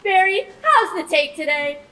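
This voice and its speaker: A woman's voice: very high-pitched, very animated, normal speed, pushed and bright, exaggerated voice. A teenage girl playing a pompous, swaggering casino boss in a comic stage heist. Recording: distant stage microphone, faint noise.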